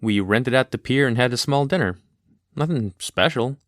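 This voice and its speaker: A man's voice: mid-range voice, lively intonation, moderate pace, no echo, clean studio sound, natural voice. A man playing a polite, principled, well-off teenage boy in an audio drama, earnest and courteous. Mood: sincere, surprised.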